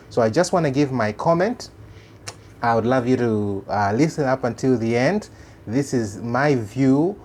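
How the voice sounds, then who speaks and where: A man from Malawi with a Malawian accent, a native Chichewa speaker, hosting an informal religious commentary in an earnest, halting way that repeats phrases for emphasis. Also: medium pitch, darkish tone, slight background noise. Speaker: host of a religious commentary channel.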